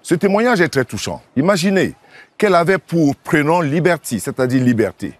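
Guy pastor on tv, really lively pitch, urging viewers, dramatic pauses. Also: no room sound. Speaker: pastor and TV co-host. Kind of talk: evangelistic presenting to viewers.

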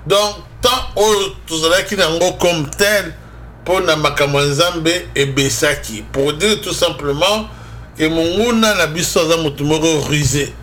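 A Congolese man preaches on a religious radio show in a high voice with a Congolese accent. He takes his time, speaking slowly and emphatically in a conversational way, switching languages as he goes. There is faint noise behind him. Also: fairly bright tone. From Democratic Republic of the Congo. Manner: emphatic, switching between Lingala and French. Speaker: religious broadcast host and preacher.

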